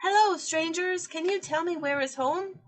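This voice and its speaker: A woman voicing a lost little female penguin chick who sounds high and pleading. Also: normal speed. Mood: polite, sad. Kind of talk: storybook character voice.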